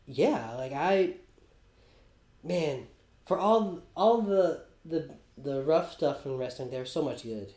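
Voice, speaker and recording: A man co-hosting a casual podcast, talking in an excitable, animated way and swearing casually. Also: on the high side, echoey room. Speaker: podcast co-host.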